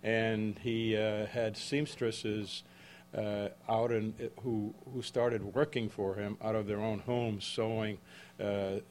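An older man in his seventies, originally from the Czech Republic, speaks as an author to a live audience. His voice sits fairly low, and he talks haltingly, often restarting and correcting himself mid-sentence. A faint noise runs underneath. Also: flat delivery, a little mellow. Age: 70s. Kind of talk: book talk to a live audience. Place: event room with an audience.

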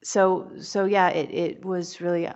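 Low-voiced reflective woman. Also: fairly even intonation, unhurried, darkish tone, lo-fi sound. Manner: hesitant, reflective, many fillers. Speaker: writer on a panel. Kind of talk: panel talk.

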